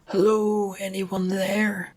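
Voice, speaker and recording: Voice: medium pitch, flat delivery, slow, a little mellow, voice pushed up. A storyteller voicing a weary, wounded man, a reluctant story hero who speaks feebly and hesitantly. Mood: feeble.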